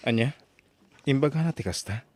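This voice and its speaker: A man voicing a calm, advising family man and father in an audio drama. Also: mid-range voice, normal speed, no echo, close to an everyday voice. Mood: concerned. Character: steady older family man, friend and father.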